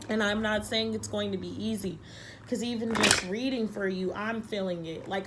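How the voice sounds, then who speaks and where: A young woman doing a tarot reading, talking earnestly and repeating herself for emphasis, a little hoarse from being sick. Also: medium pitch, background noise. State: losing her voice, a little sick. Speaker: tarot reader.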